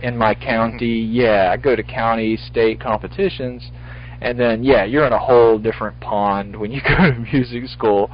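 A man's voice: mid-range voice, fairly even intonation, moderate pace. A man hosting a casual call-in radio show, rambling and full-voiced, with many restarts. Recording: lo-fi radio stream with faint hiss.

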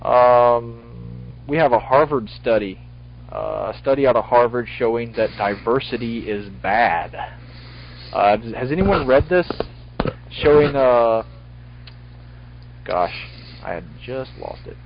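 A man's voice: medium pitch, slow pace, creaky, pauses often. A man hosting a casual call-in radio show, rambling and full-voiced, with many restarts. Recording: lo-fi radio stream with faint hiss.

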